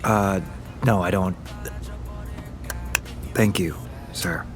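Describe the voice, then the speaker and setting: A man's voice: deep voice, fairly even intonation, soft background music. A man playing a young rich-kid army conscript, guarded and dryly sarcastic, with a clipped manner. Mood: dutiful, guarded. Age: young adult. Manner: clipped, dry, deliberate.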